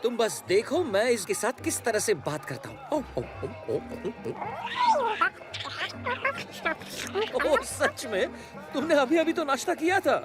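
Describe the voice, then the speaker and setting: An actor playing a curious, adventurous young man raised by monkeys in a Hindi cartoon dub, with an eager, expressive way of talking. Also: very high-pitched, normal speed. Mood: curious, playful. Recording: music behind the voice.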